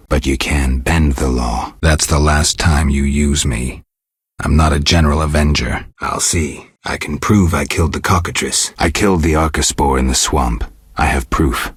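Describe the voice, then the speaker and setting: Game lead: cynical monster-hunting mutant man, rock-bottom pitch, very gravelly, deadpan and curt. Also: no echo, exaggerated voice. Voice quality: gravelly, husky. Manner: terse, deadpan, dry. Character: gruff, cynical mutant monster hunter, adult man, coolly sardonic. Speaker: video game protagonist. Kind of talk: video game character dialogue.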